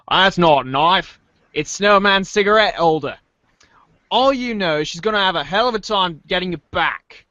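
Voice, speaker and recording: A man's voice: high voice, expressive, measured pace, long pauses, sharp tone, no room sound, highs cut off. A man hosting a podcast and narrating a read-aloud in a jovial, theatrical way.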